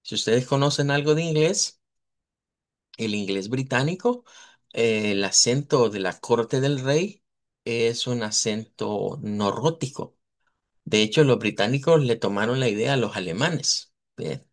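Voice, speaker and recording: A man, lecturing in a live online language class. His voice sits at an everyday middle pitch. He talks patiently, spells out sounds slowly and keeps asking his students whether they follow. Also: lively intonation. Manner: explanatory, repeats and models sounds slowly; checks in with students, asks "verdad". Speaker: language teacher.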